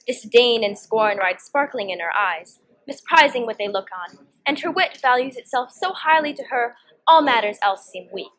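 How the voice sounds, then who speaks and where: A teenage girl acts a young noblewoman plotting in a Shakespeare comedy. Her voice is fairly high and highly animated, and she declaims the verse theatrically. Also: poor audio. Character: Hero, scheming young noblewoman feigning disdain for her cousin. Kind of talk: Shakespearean stage dialogue, student performance.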